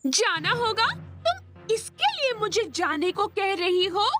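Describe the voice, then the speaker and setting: A woman's voice: very high voice, very animated, a little slow, full character voice. A woman voicing a bossy, blunt little girl with a sassy manner in a Hindi cartoon dub. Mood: offended. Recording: loud music behind the voice.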